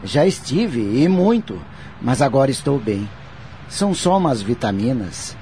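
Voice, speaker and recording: A man acting as an easygoing, cheerful older former office colleague in a radio play. He speaks slowly and conversationally at a middle pitch. Faint noise sits behind the recording. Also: very animated, warm tone. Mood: cheerful, relaxed.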